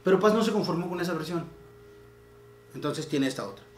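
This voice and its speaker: A man's voice: on the high side, expressive, fairly quick, a little hiss or hum. Man leading a literary talk, echoey room. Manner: reads translated poems aloud with raised, declaiming voice. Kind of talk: literary talk with poetry reading. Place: room in a house.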